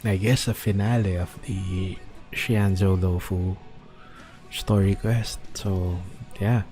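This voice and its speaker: A man doing casual, low-key livestream commentary on a game, speaking hesitantly. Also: steady tone, takes it slowly, dark tone. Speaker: game streamer. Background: game music.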